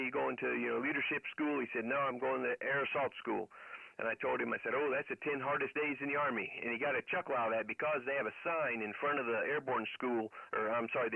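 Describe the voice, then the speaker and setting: A man's voice: mid-range voice, gravelly, no echo. A middle-aged man telling stories casually over ham radio, in a rambling way with military jargon. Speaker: amateur radio operator. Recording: narrow phone-like radio sound.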